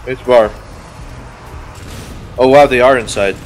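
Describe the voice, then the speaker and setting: A man chatting and exclaiming excitedly while playing a co-op game with friends. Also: medium pitch, slow pace, faint music behind, poor audio. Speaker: channel host. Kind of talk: casual co-op gaming chat.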